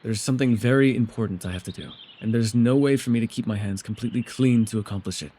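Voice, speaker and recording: A man playing a mysterious, soft-spoken elf with a gently teasing, secretive way, in an immersive ASMR roleplay with hesitant, tender asides. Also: medium pitch, fast, slight background noise, close to an everyday voice. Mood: troubled, pleading.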